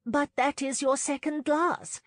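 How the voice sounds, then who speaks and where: A woman's voice: high-pitched, fairly even intonation, measured pace, dry recording, studio quality, pitched above the performer's own voice. A woman voicing a fussy, nosy society matron with a prim, interjecting manner in an audiobook. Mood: insistent, prying.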